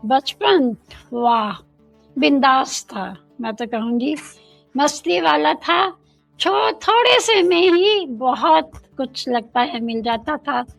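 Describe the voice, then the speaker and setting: An older woman from India, a guest in an interview, speaking in a slow, thoughtful way and mixing in English phrases. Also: high-pitched, gravelly, dry recording, faint music behind, low-quality recording.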